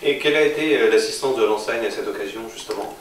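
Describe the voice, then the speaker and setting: Quick-talking male interviewer in roomy acoustics. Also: lowish voice, recorded from a distance. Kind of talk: interview questions. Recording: echoey room, off-mic, faint noise.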